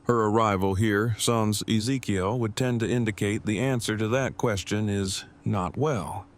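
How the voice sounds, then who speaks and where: A man acting a stiff, wordy, low-voiced pedant. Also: a little slow, natural voice. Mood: earnest, deadpan. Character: earnest, bookish, formal man, pedantic and devoted. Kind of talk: audio drama acting.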